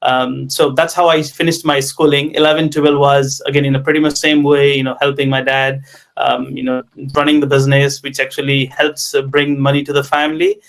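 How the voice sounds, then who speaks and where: A man with a Nepali accent, a tech entrepreneur in his thirties, telling his life story in an interview with many pauses and fillers. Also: mid-range voice, level tone, fairly bright tone. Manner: hesitant, many ums and you knows.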